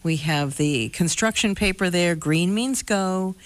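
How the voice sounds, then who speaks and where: A woman with a low voice moderates a debate. She lays out the timing rules in a brisk, businesslike way.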